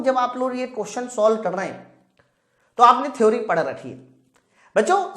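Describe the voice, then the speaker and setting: A man's voice: extremely high pitch, fairly bright tone. A man teaching an exam-prep physics class, working through questions aloud, emphatic and repetitive, often putting questions to his students. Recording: roomy, echoing sound.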